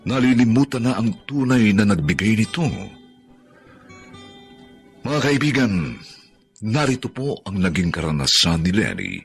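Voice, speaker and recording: Slow, solemn low-ranging male narrator. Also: sing-song, faint music behind. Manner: measured, preachy, grave. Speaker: narrator of a radio drama. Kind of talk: solemn scripted radio drama narration.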